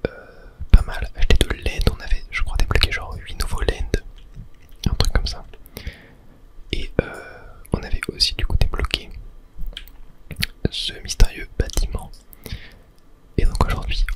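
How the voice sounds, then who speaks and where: A person whispering a casual ASMR commentary while playing a video game, thinking aloud in a tentative way. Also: very high-pitched. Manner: hushed, unvoiced whispering. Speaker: gaming video host.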